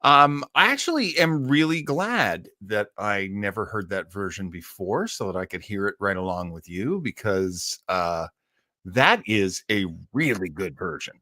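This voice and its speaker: A man's voice: lowish voice, animated, a little slow, bright, forceful tone, no room sound, studio quality. A man hosting an old-time radio listening show, chatting casually in a rambling way full of asides. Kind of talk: casual live-stream chat.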